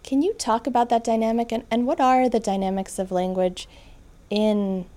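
A woman interviewer asking measured questions and narrating between answers. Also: on the high side, fairly even intonation, warm tone.

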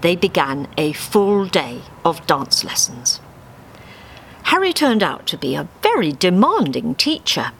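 Slow, very expressive woman storyteller. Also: lowish voice. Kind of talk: expressive scripted story narration. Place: small theatre stage before an audience. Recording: live theatre recording with faint background noise.

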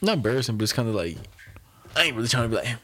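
A man's voice: medium pitch, sing-song, bright, forceful tone, slight background noise. Slangy, chatty podcast guy. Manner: slangy, chatty, quick to laugh. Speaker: podcast co-host. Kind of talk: casual chat.

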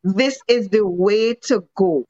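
A woman's voice: mid-range voice, takes it slowly, warm tone, no room sound. Earnest woman on a dull, dry line. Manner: earnest, emphatic, rhetorical questions. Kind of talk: casual discussion. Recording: dull lo-fi sound.

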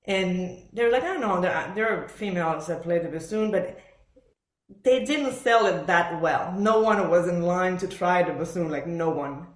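A woman's voice: lowish voice, moderate pace. A woman from Argentina and Montreal speaking as an interview guest, telling personal stories conversationally and acting out quoted remarks. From born in Argentina, raised in Montreal, Canada. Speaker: podcast guest, professional orchestral bassoonist. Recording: echoey room sound.

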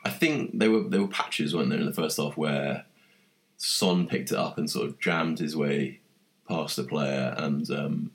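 Very deep-voiced man with a nasal cold. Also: a little slow. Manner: relaxed, joking banter. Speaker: podcast host. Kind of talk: casual chat.

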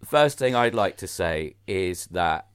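A man co-hosting a film podcast in playful, teasing casual chat, his voice croaky from a cold. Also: deep voice, expressive, takes it slowly, no echo, studio quality. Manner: playful, teasing, laughs often. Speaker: podcast co-host.

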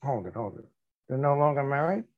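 An older man hosting a podcast, asking questions slowly and adding dry jokes. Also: mid-range voice, expressive, narrow, tinny audio. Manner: joking, unhurried questioning. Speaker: podcast host, interviewer. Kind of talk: interview questions.

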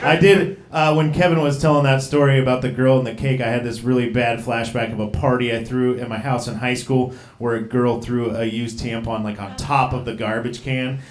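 A man's voice: medium pitch, steady tone, fairly quick, hardly any pauses, roomy sound, a little hiss or hum. A man in his thirties doing a stand-up comedy set, rambling and profane, poking fun at himself. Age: 30s. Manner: profane, rambling, self-deprecating. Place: comedy club stage.

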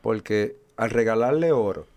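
Low-voiced man in his forties, unhurried. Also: darkish tone. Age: 40s. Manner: thoughtful, hesitant with fillers, explains slowly. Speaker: radio show co-host. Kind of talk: casual religious radio chat.

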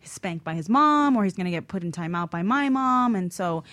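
A woman's voice: medium pitch, animated, normal speed, fairly bright tone, no room sound. A woman chatting casually as a talk show panelist, often checking that her listeners agree. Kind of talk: casual chat, personal anecdote.

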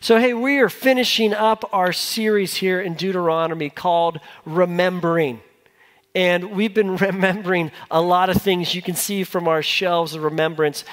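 Male pastor, fairly high voice, informal conversational sermon, long hall reverb. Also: fairly even intonation, normal speed. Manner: informal, repeats phrases for emphasis, asks listeners questions. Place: large church hall with a congregation.

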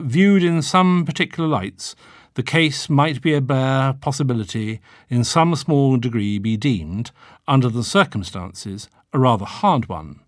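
A man reading a literary audiobook in an expressive, wry, theatrical manner. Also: medium pitch, no echo, clean studio sound. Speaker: audiobook narrator.

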